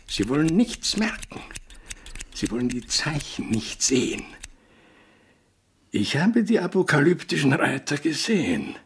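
An actor playing a solemn visionary who sees omens of doom in a radio drama, speaking in a portentous, deliberate way. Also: male voice, on the high side, very animated, husky, exaggerated voice. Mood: ominous.

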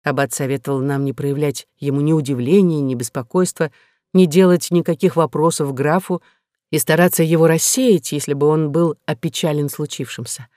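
A woman's voice: low-pitched, steady tone, moderate pace, dark tone, no room sound, studio quality. A woman reading a classic novel aloud as a scripted first-person narration. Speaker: audiobook narrator.

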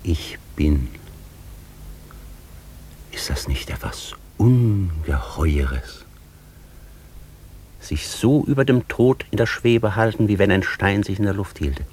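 Deep-voiced solemn scholar, really slow poetic declaiming, rapt, old-school radio drama feel. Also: male voice, lively intonation, darkish tone, a little hiss or hum. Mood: awed, rapt. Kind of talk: poetic German radio play monologue.

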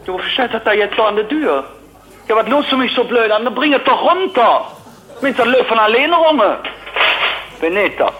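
A man playing a gruff, foul-mouthed master roofer on a comic phone call, blunt, impatient, sarcastic and insulting. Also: extremely high pitch, expressive, moderate pace, crowd noise. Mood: furious.

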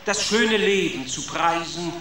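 An actor voicing a vain, easily outraged rat composer in a children's radio play, declaiming in florid rhymes. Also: male voice, a little high, lively intonation, unhurried. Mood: grandiose. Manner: declamatory, florid rhyming speech. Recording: very echoey room, noisy background.

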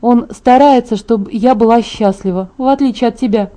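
A woman reader plays a reluctant young bride-to-be from a storybook, in a soft-edged, mellow voice. She is stubborn and easily exasperated, protesting and grumbling. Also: a little high, fairly quick, close to an everyday voice.

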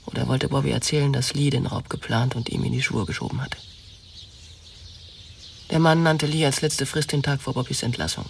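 A woman's voice: a bass voice, steady tone, a little fast, vocal fry, recorded from a distance, a little hiss or hum. An actress in a German radio drama playing a wounded young woman, pleading and confessing with insistent emotion. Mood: bitter, confessing.

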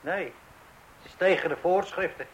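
A man's voice: high-pitched, normal speed, slight background noise, poor audio. A man playing a stubborn, rule-bound refuse collector with a blunt manner. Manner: blunt, colloquial, insistent. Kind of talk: radio play acting.

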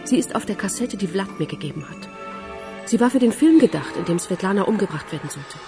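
A woman playing a composed, probing police investigator in a German radio crime drama, questioning calmly and methodically. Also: medium pitch, brisk, over music, close to an everyday voice. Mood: pensive, firm.

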